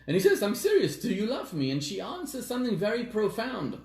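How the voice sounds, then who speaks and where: A man from Zimbabwe raised in South Africa, a rabbi giving a sermon, telling stories with dramatic pauses and slowing down on key words. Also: high-pitched, wildly swooping pitch, a little mellow. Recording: echoey room.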